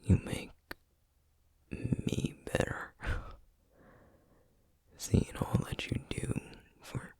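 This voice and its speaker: A man playing a tired, tender sorcerer boyfriend and single dad with a Southern US accent, speaking in a hushed, husky, gentle and halting way for an intimate ASMR roleplay. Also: dry recording. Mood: grateful, tender.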